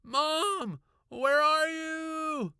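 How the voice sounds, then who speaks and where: Man putting on a shrill, panicky young girl. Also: very slow, sharp tone, dry recording, studio quality, exaggerated voice. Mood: frantic. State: out of breath. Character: frightened young girl, breathless, insistent, quick-tempered. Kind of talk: children's horror audiobook acting.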